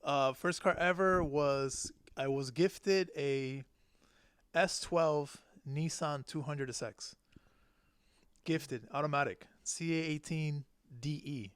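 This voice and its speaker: A man's voice: fairly high pitch, takes it slowly, fairly bright tone, smooth, no room sound, studio quality. A man from Cuba talking as a podcast guest, telling personal stories in casual, informal language. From born in Cuba, left as a small child. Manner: slangy, informal. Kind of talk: casual chat, personal storytelling.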